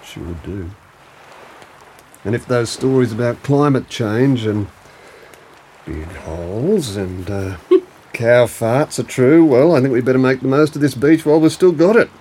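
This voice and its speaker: An actor playing an affectionate, cheeky older grandfather in an audio drama, with an unhurried, playful manner. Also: mid-range voice, lively intonation, dark tone, a little hiss or hum, lightly acted. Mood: fond, wry. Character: easygoing affectionate old grandfather, fond and cheeky.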